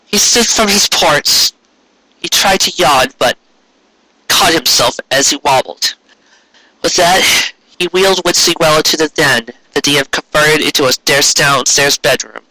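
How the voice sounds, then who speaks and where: A man reading a story aloud as an amateur narrator, in a dramatic, measured way with pauses. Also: a little high, sharp tone, no echo, rough recording. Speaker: amateur audiobook reader.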